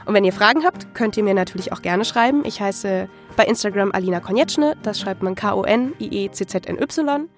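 A woman's voice: mid-range voice, animated, a little fast, hardly any pauses, soft background music. A woman hosting an interview podcast, speaking in a polite, articulate and friendly presenting style.

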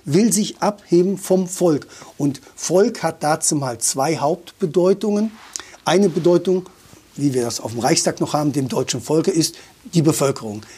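A man's voice: fairly high pitch, a little mellow, slightly rough. A man talking as a guest about dialect, thoughtful and opinionated, often restarting his sentences. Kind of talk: conversational explanation.

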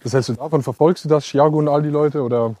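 A man's voice: fairly high pitch, steady tone, dark tone. A man hosting a podcast, asking curious questions in casual chat on an outdoor walk. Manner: curious, asks questions quickly. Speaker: podcast host. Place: outdoors in vineyards.

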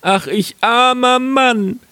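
A man's voice: mid-range voice, big pitch swings, no echo, full character voice. A man voicing a hapless, stubborn wagon driver who laments in a fairy tale. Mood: wailing despair. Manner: loud, drawn-out lamenting. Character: hapless, wailing male wagon driver, hot-tempered and stubborn.

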